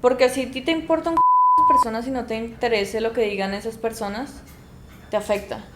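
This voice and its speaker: A woman's voice: on the high side, big pitch swings, a little fast, very noisy. A young woman, co-hosting a podcast in casual chat and making her point emphatically with repeated phrases. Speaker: podcast co-host. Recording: echoey room with faint ambience.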